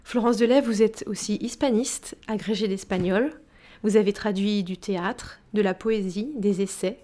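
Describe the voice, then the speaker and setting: A woman hosting a radio interview who asks formal, measured questions. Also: medium pitch, fairly even intonation, warm tone.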